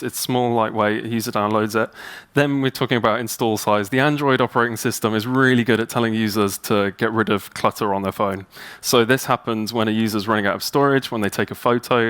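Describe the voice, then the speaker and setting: A man's voice: mid-range voice, fairly bright tone. A man giving a chatty, upbeat conference talk on stage into a handheld mic. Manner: upbeat, chatty, many ums. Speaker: conference speaker. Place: stage in a large hall.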